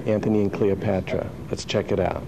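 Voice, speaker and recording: A man's voice: sits low, steady tone, a little fast, vocal fry, very noisy. A man hosting a show, asking friendly, conversational interview questions. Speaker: host. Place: music school rehearsal. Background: loud music.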